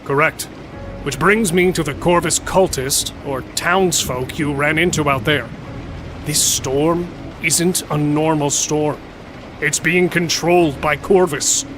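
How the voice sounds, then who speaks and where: A man playing an irreverent smith and lore keeper explaining dark history in a fantasy audio drama. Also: fairly high pitch, takes it slowly, music in the background. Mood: grave, explaining.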